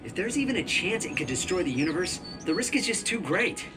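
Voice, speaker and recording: An earnest young video game hero, with very animated pitch and a plucky, wry manner. Loud music plays behind him. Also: male voice, a little fast. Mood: subdued. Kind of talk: video game cutscene acting.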